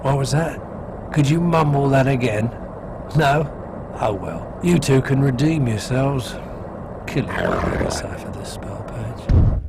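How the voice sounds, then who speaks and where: An actor playing a gloating, scheming villain lord in a game cutscene, speaking with sneering, theatrical mockery. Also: male voice, medium pitch, animated, slow, creaky, noisy background. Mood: mocking.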